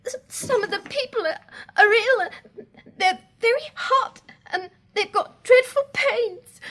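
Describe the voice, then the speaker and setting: A woman's voice: extremely high pitch, a little slow, fairly bright tone, rough recording. A female performer playing a scared, polite little girl in a radio drama, hesitant and pleading. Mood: tearful, frightened.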